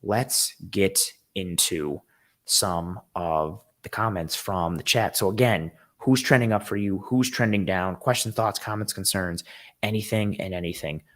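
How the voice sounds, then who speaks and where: A man with a hoarse, raspy voice, worn out from a game the night before, hosts a casual live-stream football breakdown and rambles eagerly through viewer comments. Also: sits low, measured pace. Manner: rambling, eager, with frequent ums and restarts. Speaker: host of a football show. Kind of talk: casual live-stream sports analysis, reading chat comments.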